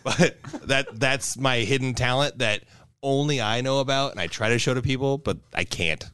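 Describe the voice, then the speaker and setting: A man's voice: mid-range voice, moderate pace, bright, forceful tone, clear, resonant tone, no echo, clean studio sound. A man in his thirties on a podcast, telling a rambling, self-mocking story in a casual way. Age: 30s. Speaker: co-host.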